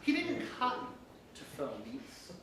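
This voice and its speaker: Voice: medium pitch, wildly swooping pitch, a little slow, crowd noise. A person telling scripted comic fairytales live, with theatrical delivery and frequent asides. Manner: theatrical, often breaking into laughter and asides. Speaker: storyteller performing comic fairytales. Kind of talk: live comedic storytelling, scripted narration. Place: live performance in a room with audience. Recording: echoey live room, distant mic, background noise.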